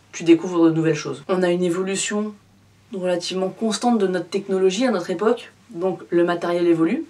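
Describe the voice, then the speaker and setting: A woman in her thirties talks straight to her viewers in a relaxed, chatty way, leaning on fillers as she goes. Her voice sits a little low for a woman. The mic is set back from her, so she sounds slightly distant. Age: 30s. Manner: chatty, addresses the viewer informally with many fillers. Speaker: photography YouTuber. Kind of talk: casual direct-to-camera vlog.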